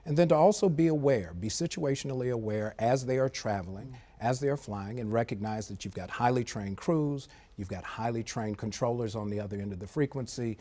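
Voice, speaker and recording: A man, a former air traffic controller, giving a measured, analytical explanation as a guest in a TV studio, with frequent fillers. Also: medium pitch, warm tone. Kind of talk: live TV interview.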